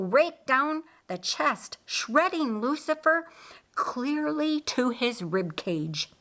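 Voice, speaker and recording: A woman's voice: fairly high pitch, fairly bright tone. A woman narrating an audiobook with dramatic, suspenseful and emphatic scripted storytelling.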